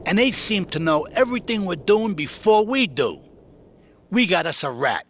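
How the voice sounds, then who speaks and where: A man playing an older mob boss in a game cutscene, gruff and commanding. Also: high-pitched, big pitch swings, moderate pace, no room sound. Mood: grave. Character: older male mob boss, bossy and crude. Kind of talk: video game cutscene acting.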